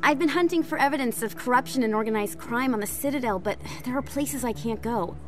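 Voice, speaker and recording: Woman playing an eager, high-voiced investigative journalist. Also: fairly quick, faint music behind, close to an everyday voice. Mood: persuasive. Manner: polite, earnest, persuasive. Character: eager, persuasive female investigative journalist on a space station. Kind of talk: video game dialogue acting.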